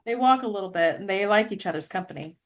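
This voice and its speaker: A woman's voice: mid-range voice, animated, fast talker. A woman reading stage directions aloud as scripted narration.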